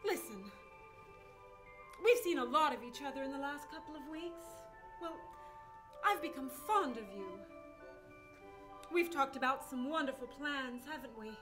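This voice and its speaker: A woman's voice: high voice, expressive, moderate pace, pauses often, music in the background. A woman playing a sensible, exasperated sweetheart in a radio play, earnest and reproachful. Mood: exasperated, pleading. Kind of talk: stage-like radio play acting.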